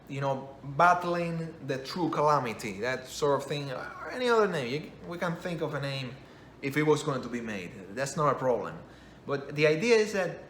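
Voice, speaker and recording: A man's voice: fairly high pitch, fairly bright tone, a little hiss or hum. A man talking in English, which is not his first language, giving a casual spoken review with many restarts and self-corrections. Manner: rambling, self-correcting, enthusiastic. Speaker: game commentator. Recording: echoey room, faint ambience.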